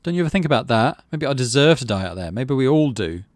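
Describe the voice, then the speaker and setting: A man's voice: mid-range voice, sing-song, fast talker, dry recording, studio quality, pitched above the performer's own voice, close to an everyday voice. A man playing a bitter, defiant young male loner in a visual novel, grumbling and snapping with self-deprecation. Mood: angry, defiant.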